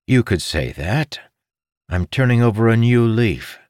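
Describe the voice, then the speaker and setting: A man playing a wry, low-key noble adventurer in a fantasy audiobook. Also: animated, a little slow, a little mellow, no room sound, clean studio sound, close to an everyday voice.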